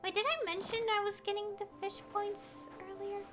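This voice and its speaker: A woman's voice: extremely high pitch, fairly even intonation, moderate pace. A woman giving casual, rambling livestream gameplay commentary, thinking aloud as she plays. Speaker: game streamer. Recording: game music behind the voice. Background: video game music.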